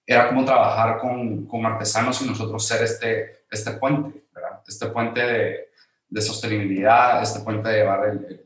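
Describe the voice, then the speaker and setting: A man from Guatemala with a Guatemalan accent, a guest designer telling his story in a hesitant, conversational way with many fillers. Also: fairly low pitch. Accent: Guatemalan Spanish. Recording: very roomy echo.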